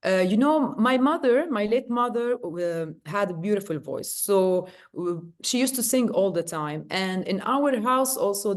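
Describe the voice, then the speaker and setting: A woman talking as an interview guest. She tells her story in a lively, animated way, with many fillers and restarts along the way. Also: mid-range voice. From Lebanon. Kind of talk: casual interview talk.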